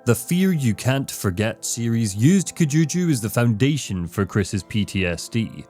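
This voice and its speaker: A man's voice: medium pitch, big pitch swings, measured pace, darkish tone. A man delivering scripted narration for a critical video essay, with dramatic, emphatic phrasing. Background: background music, guitar.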